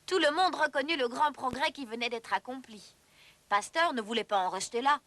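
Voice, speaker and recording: A woman's voice: high voice, moderate pace, a bit bright, slight background noise. A woman reading scripted narration for an educational cartoon in an explanatory, storytelling manner.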